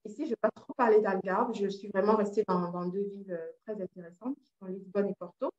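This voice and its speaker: A woman's voice: mid-range voice, fairly even intonation, fast talker, a little mellow. A woman from Cameroon, a real estate agent, giving an online presentation with many fillers and restarts. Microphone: video call. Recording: roomy, dull video-call audio.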